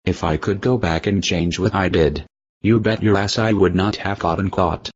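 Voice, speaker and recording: Male interviewee, deep voice, stiff recited answers, slightly muffled recording. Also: normal speed.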